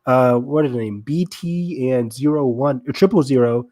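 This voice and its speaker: A man's voice: mid-range voice, normal speed, a little mellow, dry recording, studio quality. A man co-hosting a podcast in casual, gushing fan discussion, speaking with open enthusiasm. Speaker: podcast co-host.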